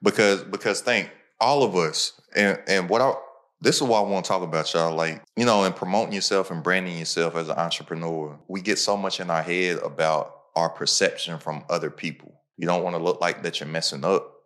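A man's voice: low-pitched, steady tone, fairly quick, a bit bright. A man hosting a podcast, talking casually and motivationally in repeated punchy phrases. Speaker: podcast host. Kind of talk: casual conversational podcast talk.

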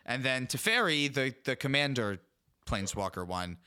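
A man's voice: medium pitch, expressive, moderate pace, sharp tone, clear, resonant tone. A man co-hosting a casual card game podcast, joking and laughing often with his friends. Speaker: podcast co-host. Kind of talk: casual gaming podcast chat.

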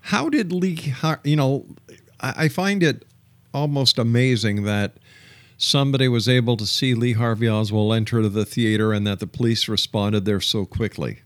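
A man hosting a radio interview, speaking politely in deliberate phrases. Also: medium pitch, no echo. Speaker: radio show host and interviewer.

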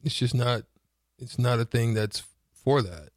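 A man with a raspy voice at an ordinary male pitch chats about sports as a radio co-host. His delivery is loose and colloquial, and he doubles back on phrases to make them land. The sound is dry and close. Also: measured pace, dry recording. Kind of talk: casual sports talk.

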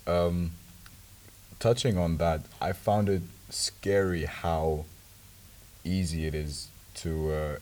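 A man in a casual podcast chat who agrees often and pauses with hesitant fillers. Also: low voice, steady tone, a little mellow.

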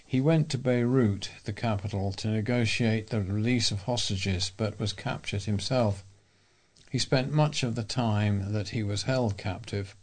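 A man's voice: on the low side, a little mellow. A man reading a local news bulletin aloud as a volunteer newsreader.

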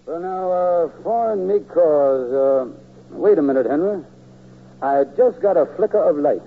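An actor playing a scheming, lazy husband with a folksy, hesitant delivery in a 1940s radio comedy. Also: male voice, on the high side, expressive, a little slow, vocal fry, narrow, tinny audio, heavily acted. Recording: thin, hissy old radio recording.

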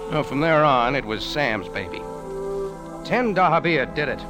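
An actor playing a wry, hardboiled American cafe owner and amateur detective in 1940s radio drama, with slangy, clipped delivery. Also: male voice, fairly high pitch, expressive, normal speed, natural voice. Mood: wry narration. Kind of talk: 1940s radio detective drama acting and first-person narration. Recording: vintage radio drama recording with music.

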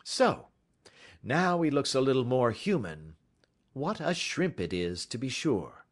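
A man playing a shrewd, self-assured gentleman detective in an audiobook, speaking precisely with suave, gentle mockery. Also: mid-range voice, big pitch swings, takes it slowly, pitched above the performer's own voice. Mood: commanding, scornful.

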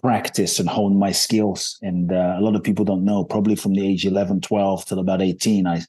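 A man's voice: low voice, level tone, fairly quick, mellow, slightly rough. Earnest man. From Ghana. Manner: earnest, reflective, frequent "and so" and "uh". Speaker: guest. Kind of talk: podcast interview storytelling.

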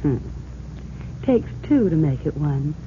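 A woman's voice: sits low, very animated, slow, heavily acted. An actress playing a guarded, blunt young woman with a tip in an old-time radio crime drama. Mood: guarded, insistent. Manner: cool, blunt, knowing. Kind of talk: 1940s radio acting. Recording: tinny, hissy old radio recording.

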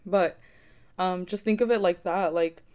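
Smooth-voiced young woman, casual podcast host. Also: lowish voice, measured pace, darkish tone. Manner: earnest, encouraging, swears casually. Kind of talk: casual chat.

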